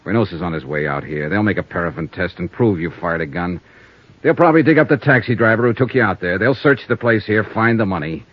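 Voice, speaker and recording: Low, hardboiled radio investigator. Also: male voice, expressive, quick pace. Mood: cool, accusing. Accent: American. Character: world-weary, shrewd male insurance investigator. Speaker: lead actor of a radio detective serial. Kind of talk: 1950s radio acting. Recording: muffled old radio broadcast.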